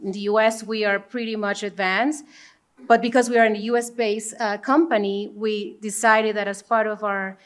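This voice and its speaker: A woman's voice: medium pitch, little pitch movement, moderate pace. A woman from Panama, a native Spanish speaker and corporate executive, talking on a conference panel before an audience.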